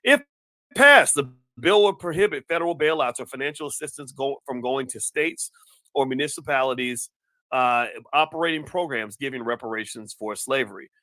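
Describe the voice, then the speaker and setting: A man hosting a news commentary show. He talks in an animated, rambling way and often repeats words. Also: medium pitch, normal speed, no echo. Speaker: host.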